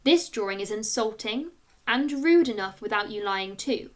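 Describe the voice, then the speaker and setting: A woman's voice: medium pitch, animated, moderate pace, lightly acted. A woman voicing a weary, disappointed female primary teacher in a children's story, speaking with emphatic, exasperated reproach. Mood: disappointed, reproachful.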